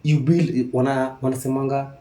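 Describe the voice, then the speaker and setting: A man's voice: medium pitch, flat delivery, moderate pace, dark tone. A young man with a Kenyan accent in a casual debate, repeating phrases as he argues in mixed Swahili slang and English. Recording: echoey room.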